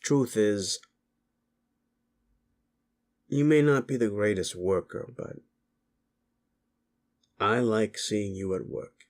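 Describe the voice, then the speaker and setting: Casting: male actor for gruff, sleazy werewolf boss in an intimate audio drama; low pitch, very slow delivery, slangy, grudgingly tender bully. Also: darkish tone. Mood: gruff, flirtatious.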